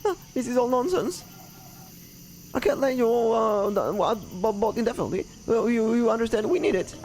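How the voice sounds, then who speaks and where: A man's voice: extremely high pitch, normal speed, darkish tone, slight background noise, pitched above the performer's own voice, poor audio. A man voicing a flustered, guarded researcher in a video game, fussy, formal and hesitant in manner. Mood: reluctant, wary. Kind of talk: character voices while reading game dialogue.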